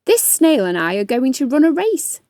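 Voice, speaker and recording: A woman's voice: high-pitched, very animated, normal speed, dark tone, no echo, voice pushed up. A woman voicing a boastful, impatient young male ant who brags in a storybook reading. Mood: challenging. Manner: bragging, scornful.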